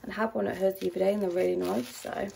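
A young woman chatting casually through a grocery haul in a rambling way, her voice low and a little rough from a dry throat. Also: fairly even intonation, racing, warm tone, a little hiss or hum. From United Kingdom. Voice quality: low, gravelly voice. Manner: chatty and rambling, with many ums and likes. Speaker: vlogger. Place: at home.

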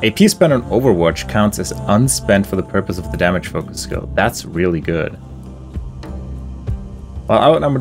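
Low-voiced man musing through game commentary. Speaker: gaming video host.

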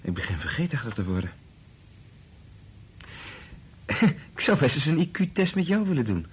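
A man's voice: mid-range voice, very animated, a little fast, slight background noise, natural voice. An actor playing a kind, patient male scientist in a Dutch radio drama, reassuring and explanatory in manner. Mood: thoughtful.

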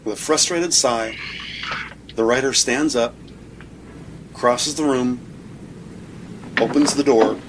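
A man's voice: mid-range voice, takes it slowly, pauses often. A man narrating an audio drama, reading scripted scene description in the present tense. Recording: noisy, sound effects behind voice.

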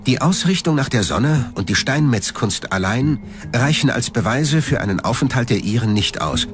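A man's voice: medium pitch, animated, normal speed. Male documentary narrator over music. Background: background music.

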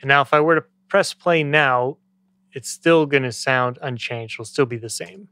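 A man in his thirties giving a conversational, step-by-step software tutorial as an instructor, close to the microphone. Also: medium pitch, normal speed, a little hiss or hum. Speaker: music production tutorial instructor.

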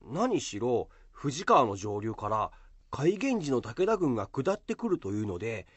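A man's voice: mid-range voice, big pitch swings, measured pace, slightly rough, no room sound. A man playing an earnest, modest schoolboy who loves history, explaining things eagerly in an amateur radio drama.

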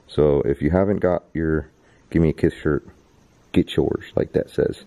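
A man in his thirties hosting a vlog, chatting to the camera and reading off viewer names while exploring a dark abandoned stadium at night. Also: very deep voice, steady tone, unhurried, poor audio. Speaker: YouTube host. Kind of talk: casual vlog narration. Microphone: handheld camera held close.

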